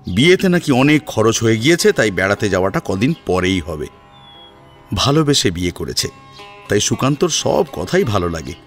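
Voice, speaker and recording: Expressive male storyteller over music. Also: lowish voice, normal speed. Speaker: story narrator. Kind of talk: dramatised Bengali story narration.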